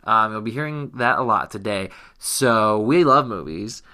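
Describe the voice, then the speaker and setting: A man's voice: lowish voice, lively intonation, unhurried, sharp tone. A man co-hosting a podcast, chatting casually and playfully about games and movies. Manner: playful, joking. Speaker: podcast co-host.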